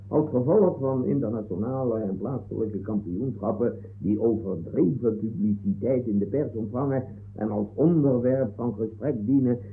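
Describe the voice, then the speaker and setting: Casting: male actor for stern, self-important judge, medium pitch, formal, muffled vintage comic radio sound. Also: close to an everyday voice. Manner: pompous, formal, authoritative. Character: stern, pompous male judge with a football weakness. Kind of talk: 1960s comic radio play acting. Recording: dull 1960s radio recording.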